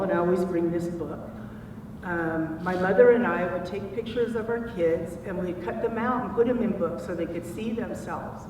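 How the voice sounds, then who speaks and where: A woman's voice: on the low side, far from the mic. A woman in her sixties, a children's author speaking earnestly on a conference panel. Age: 60s. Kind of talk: panel discussion remarks. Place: conference hall. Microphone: far from the mic. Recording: echoey hall recorded from afar, noisy.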